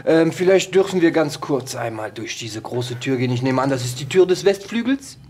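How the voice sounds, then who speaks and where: A man playing a polite, suspicious French gentleman doctor in a tabletop game, speaking formally with French words sprinkled in. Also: mid-range voice, lively intonation, normal speed, slight background noise, lightly acted. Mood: concerned, formal. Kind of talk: improvised tabletop role-play acting.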